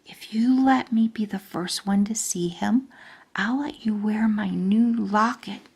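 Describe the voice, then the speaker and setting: A woman's voice: mid-range voice, measured pace, creaky, a little mellow, breathy edge, exaggerated voice. A woman voicing a pushy, boastful little schoolgirl who wheedles for favors, in a children's storybook reading. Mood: secretive whisper.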